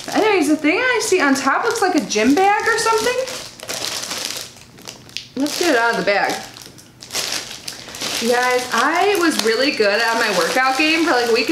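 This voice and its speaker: A woman's voice: sits high, sing-song, a little fast, background noise. Chatty nasal young woman, echoey room. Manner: chatty and bubbly, lots of likes and ums. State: nasal from allergies. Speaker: unboxing vlogger. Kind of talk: casual unboxing chat.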